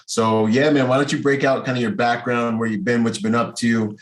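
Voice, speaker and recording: A man's voice: mid-range voice, flat delivery, a little fast. An American man hosting a podcast in casual, slangy, buddy-like but earnest conversation. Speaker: podcast host and trainer.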